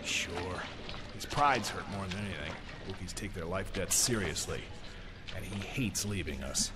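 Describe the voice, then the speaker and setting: Brash, wisecracking smuggler, animated delivery. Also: male voice, sits low, normal speed, music in the background. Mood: wry, wary. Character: gruff, wry male smuggler hero, protective husband. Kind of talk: audio drama acting. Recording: loud orchestral score behind.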